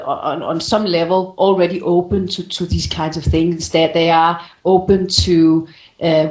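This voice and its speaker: A woman speaking as a voice coach on a call-in show, explaining conversationally and often rephrasing as she goes. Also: lowish voice. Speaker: voice coach answering callers' questions. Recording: roomy, echoing sound.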